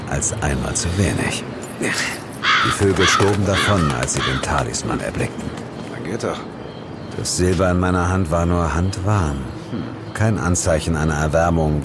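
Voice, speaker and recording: Very deep-voiced, sardonic ghost hunter. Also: male voice, very noisy. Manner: measured storytelling with dry sarcasm. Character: world-weary, sardonic male ghost hunter narrating his case. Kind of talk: scripted first-person audio drama narration. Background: loud music.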